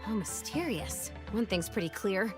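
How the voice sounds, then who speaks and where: A woman playing a plucky, determined young heroine in an animated game cutscene. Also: medium pitch, unhurried, music in the background, close to an everyday voice. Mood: earnest.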